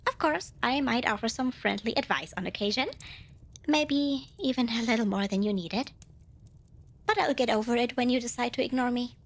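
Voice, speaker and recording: Woman voicing a high-pitched, proud little demon girl. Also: moderate pace. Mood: coaxing, cheeky. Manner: indignant, boastful, hedging and self-correcting. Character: tiny cute female demon, proud, flustered, bargain-loving. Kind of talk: ASMR roleplay addressed to the listener.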